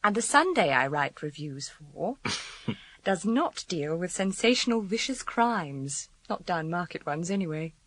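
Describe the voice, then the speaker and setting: An actress playing a sharp, witty journalist in a radio crime drama, articulate and arch in manner. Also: female voice, fairly low pitch, sing-song, measured pace. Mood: indignant, arch. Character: sharp, witty woman journalist, detective's friend.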